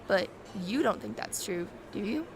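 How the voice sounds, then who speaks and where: A woman's voice: mid-range voice, big pitch swings, moderate pace. A woman playing a chatty, curious true-crime podcaster in a radio play, telling her story informally.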